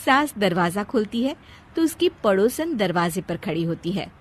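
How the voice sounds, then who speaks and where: A woman's voice: medium pitch, very animated, moderate pace, breathy edge. A woman narrating a scripted cartoon family story as storyteller.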